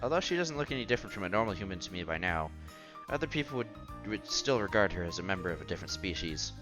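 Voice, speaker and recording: A man's voice: low voice, very animated, quick pace. A man streaming a visual novel, reading the text aloud with casual commentary and stumbling over words now and then. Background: game music.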